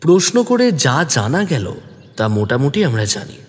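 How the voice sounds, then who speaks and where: A man narrating a detective story in the first person, expressive and deliberate, also speaking the detective's lines. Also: mid-range voice, big-room sound. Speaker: narrator who also voices the young detective.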